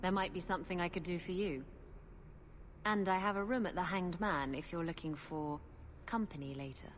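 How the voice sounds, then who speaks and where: An actress playing a brash, flirtatious pirate captain in a video game cutscene, teasing and sardonic. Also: female voice, lowish voice, normal speed, background noise, close to an everyday voice. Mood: playful, teasing.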